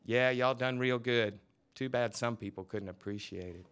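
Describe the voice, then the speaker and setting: A man's voice: medium pitch, normal speed, heavy background noise, close to an everyday voice. A man reading aloud and voicing a friendly young male college student.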